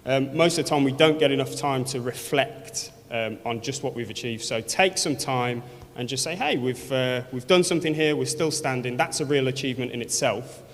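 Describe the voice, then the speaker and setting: A man's voice: mid-range voice, moderate pace. Earnest man with a Birmingham accent. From Birmingham, England. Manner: earnest, with many fillers and restarts. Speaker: award winner speaking at an event. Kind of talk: speech at an awards event. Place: large event hall. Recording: long hall reverb.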